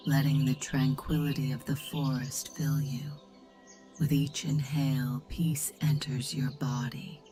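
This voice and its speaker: A woman with a soft, breathy, half-whispered voice, narrating a guided meditation or bedtime story in a soothing, gentle, measured manner. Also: extremely low pitch.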